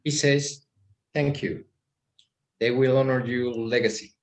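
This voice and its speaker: Casting: male actor as polite, formal interpreter, mid-pitched, table-read drama. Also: steady tone, unhurried, natural voice. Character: courteous formal male interpreter for home buyers. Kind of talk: screenplay table read acting.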